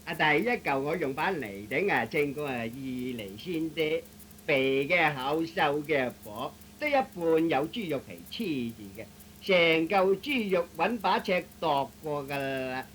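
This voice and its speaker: A man playing a slow-witted, literal-minded young shop servant in a Cantonese comic radio play, hesitant and earnest. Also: on the high side, expressive, a bit bright. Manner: dim, hesitant, pedantically literal. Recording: noisy old radio recording.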